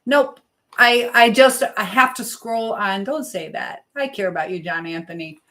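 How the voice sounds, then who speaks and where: A woman hosting a live chat, talking casually to viewers in a rambling, halting way. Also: mid-range voice, bright, forceful tone. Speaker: livestream host.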